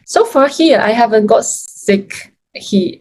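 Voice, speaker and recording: Chinese-native woman, halting friendly chat. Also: on the high side, lively intonation, darkish tone. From Yunnan, China. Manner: hesitant, many restarts and fillers, laughs often. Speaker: guest, freelance Chinese teacher. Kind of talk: casual interview chat.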